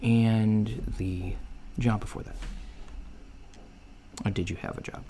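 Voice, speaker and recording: A man playing a laid-back alien job interviewer in a soft-spoken ASMR roleplay, hesitant and matter-of-fact, with keyboard typing behind him. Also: on the low side, fairly even intonation, takes it slowly. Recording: faint noise, lo-fi ASMR, keyboard sounds. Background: computer keyboard typing and clicks.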